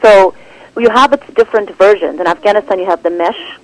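A woman's voice: medium pitch, a little fast, no echo, low-quality recording. Chatty woman filmmaker, dry sound. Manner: animated, rambling with restarts and "like". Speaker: documentary director, guest. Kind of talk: conversational interview answers.

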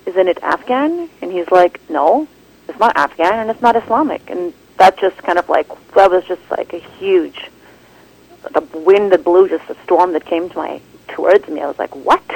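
A woman film director answering interview questions in an animated, rambling way, full of restarts and "like". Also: lowish voice, moderate pace, breathy edge, poor audio. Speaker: documentary director, guest.

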